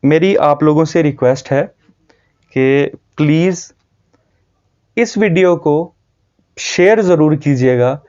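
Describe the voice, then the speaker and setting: A man's voice: a little high, slow, pauses often, a little mellow, lo-fi sound. A man from Jammu with a North Indian accent, earnestly explaining and warning viewers in an informal way, repeating himself for emphasis. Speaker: video host.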